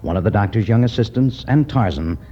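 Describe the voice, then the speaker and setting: A man's voice: lowish voice, expressive, fairly quick, warm tone. A man narrating a 1950s radio adventure serial as announcer, dramatic and declamatory. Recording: dull old radio recording with music.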